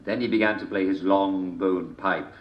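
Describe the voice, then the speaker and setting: A man telling a dark fairy tale in a theatrical, deliberate manner, with repeated phrases and dramatic pauses. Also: low voice, fairly even intonation. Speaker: storyteller reading a folk tale. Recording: dull, roomy, echoing home recording.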